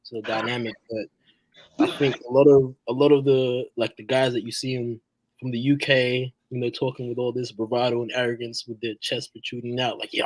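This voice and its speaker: A man's voice: medium pitch, a little mellow, no room sound, poor audio. A man who grew up in Australia, chatting casually on a podcast panel, stumbling and repeating words as he thinks. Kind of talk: casual chat.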